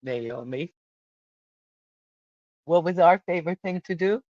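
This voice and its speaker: A woman's voice: on the low side, slow pace, a little mellow, dry recording. Chatty, emphatic woman, slightly dull recording. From born in Hungary. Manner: chatty, emphatic, asks questions. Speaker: travel vlog host. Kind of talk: casual travel talk.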